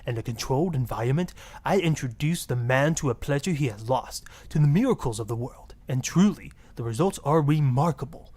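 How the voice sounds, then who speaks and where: A man's voice: medium pitch, animated, brisk, hardly any pauses, dark tone, breathy edge. A man voicing a self-righteous, grandiose marriage guru with a put-on Latin accent on a parody radio talk show. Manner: grandiose, preachy, easily offended.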